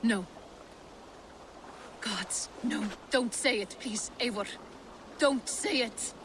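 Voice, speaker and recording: A woman's voice: on the high side, takes it slowly, background noise. An actress playing a grieving, anguished wife mourning her fallen warrior in a game drama. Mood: pleading. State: anguished, tearful. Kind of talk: dramatic game cutscene acting.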